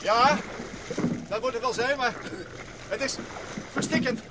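An actor playing a brisk, hearty male survivor steering an escape boat in a Dutch radio drama. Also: very high-pitched. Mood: earnest, strained. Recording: noisy old radio drama recording.

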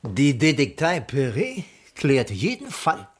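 Cocky small town detective, raspy voice that swings up and down, wry, old radio play. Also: male voice, medium pitch, wildly swooping pitch, slow pace, exaggerated voice. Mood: boastful. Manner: self-important, wry, wheeling salesman patter. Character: boastful, flippant small-town male private detective. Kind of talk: 1980s German radio crime play acting.

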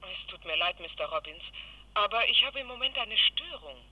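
A woman's voice: medium pitch, lively intonation, brisk, dull audio. A woman playing a polite bank secretary in a radio play, heard through an intercom or telephone filter. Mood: apologetic, polite. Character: polite female bank secretary answering her boss.

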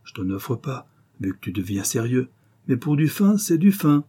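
A man voicing a crude, drunken male convict bandit who talks in loud, slangy jeers. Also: medium pitch, big pitch swings, moderate pace, breathy edge, pitched above the performer's own voice. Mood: jeering.

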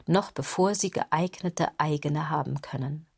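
An actress playing a wry female commentator in a literary radio drama, reciting in a measured, ironic manner. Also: low voice, gravelly, breathy edge, dry recording, studio quality, heavily acted.

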